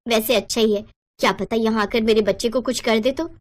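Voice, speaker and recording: A high-pitched, sharp-tongued younger sister-in-law played by a woman storyteller from a family bedtime story. She is jealous and argumentative, always indignant and ready to accuse. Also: steady tone, a little fast, close to an everyday voice. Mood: bitter.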